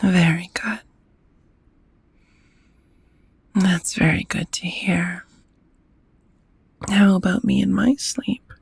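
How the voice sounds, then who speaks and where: A gentle, courteous vampire roommate, acted by a woman, murmurs in a very breathy half-whisper for an intimate ASMR roleplay. She takes her time, tender and a little formal, with a creaky edge to the voice. The sound is close and dry. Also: mid-range voice, fairly even intonation, no echo, full character voice. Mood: drowsy, affectionate. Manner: unhurried, softly formal, tender.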